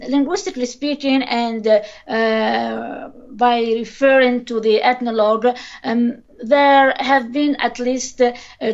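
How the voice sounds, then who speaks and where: A woman, a linguist speaking as a guest in a podcast interview, hesitant and halting as she searches for words. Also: on the high side, a little mellow. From Tunisia. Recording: dull lo-fi recording.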